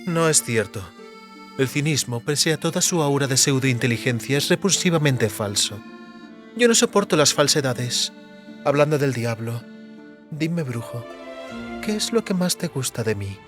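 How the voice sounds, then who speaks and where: A woman's voice: rumbling low, animated, moderate pace. A haughty sorceress in an audio drama. She is demanding and teasing with her lover, phrasing everything ornately and imperiously, with music playing behind her. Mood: disdainful, probing.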